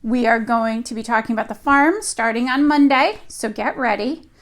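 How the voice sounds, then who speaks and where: A woman with a high voice reads a picture book aloud to young children. She sounds like a teacher at storytime, shaping each phrase with deliberate expression. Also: fairly bright tone.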